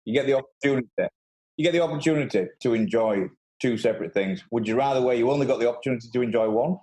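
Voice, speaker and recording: A man's voice: mid-range voice, rapid-fire, a little mellow, poor audio. A man hosting a podcast in casual chat, talking in an emphatic, opinionated way. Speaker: podcast host.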